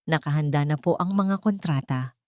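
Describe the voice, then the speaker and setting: A woman narrator voicing a calm, polite, professional executive assistant in a radio drama. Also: low voice, moderate pace, dry recording, clean studio sound, lightly acted. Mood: polite, careful.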